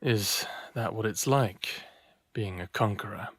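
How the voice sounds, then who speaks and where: Slow-talking, flirty smartass man. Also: fairly low pitch, no room sound, studio quality. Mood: soft, relieved. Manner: playful, unhurried, soft-spoken. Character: smartass human man in his late thirties, flirtatious, tender. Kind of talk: intimate audio drama acting. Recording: faint music under the voice.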